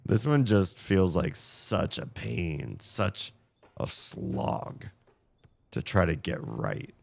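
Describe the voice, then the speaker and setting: A man streaming a puzzle game, grumbling sarcastically about the puzzles while his voice is going hoarse. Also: deep voice, very animated, takes it slowly, no room sound. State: losing his voice. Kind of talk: casual live-stream commentary.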